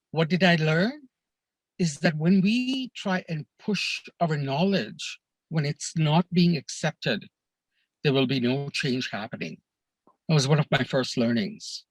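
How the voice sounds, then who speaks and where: A man from Kenya, a podcast guest, telling stories of his life abroad in an earnest, measured way, often pausing on "uh" and "you know". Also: fairly high pitch, dry recording, poor audio. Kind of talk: reflective storytelling in a conversation.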